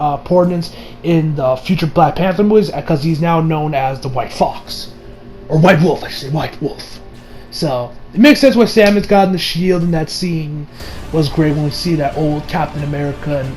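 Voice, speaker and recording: A young man talks through a movie review in a casual, slangy way. His voice sits a little high, and he wanders into asides like an excited fan. Music plays behind him. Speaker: video reviewer.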